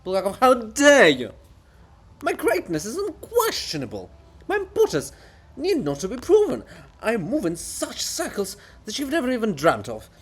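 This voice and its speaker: A man's voice: very high voice, huge pitch swings, moderate pace, breathy edge, voice pushed up, full character voice. A man voicing a vain, fanatical monument come alive in a dramatic stage farce, declaiming pompously and theatrically. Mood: outraged, pompous. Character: ranting, grandiose Bulgakov monument, vain and fanatical.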